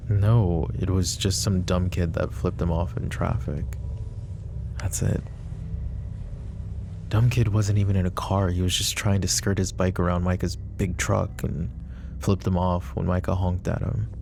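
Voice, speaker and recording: A man playing a protective, possessive mafia boss in an intimate roleplay ASMR, speaking deliberately and broodingly straight to the listener. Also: deep voice, little pitch movement, creaky, noisy background. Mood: grim, regretful.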